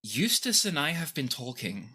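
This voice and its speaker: A woman voicing a weary, gentle older female ship's captain in a tabletop game, speaking in a measured, hesitant way. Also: rumbling low, sing-song, breathy edge, voice pushed up, heavily acted. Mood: resigned. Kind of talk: tabletop game role-play.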